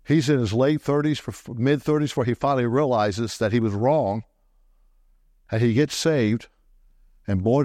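A man preaching a sermon into a microphone in a church, animated, often swinging his voice up high to stress a point. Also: dry recording, clean studio sound.

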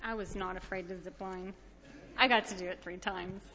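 A woman's voice: medium pitch, fairly even intonation, moderate pace, sharp tone. A woman giving an informal church testimony in an earnest, plain-spoken way. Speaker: church mission trip member giving a testimony. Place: large indoor church hall. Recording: echoey hall with background noise.

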